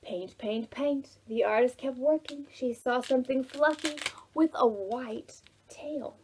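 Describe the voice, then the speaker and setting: A woman hosting a preschool storytime, telling stories to young children in an expressive way, with drawn-out words and soft, hushed phrases. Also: sits high, slightly rough, low-quality recording. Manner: addresses children directly with simple questions.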